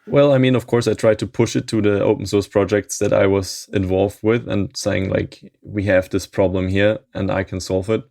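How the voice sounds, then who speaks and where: A man in his thirties, a software maintainer being interviewed, talking in a thoughtful way and chuckling often mid-sentence. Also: lowish voice, steady tone, moderate pace, vocal fry, few pauses, dry recording, clean studio sound. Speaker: open source maintainer, interview guest. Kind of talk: casual interview chat.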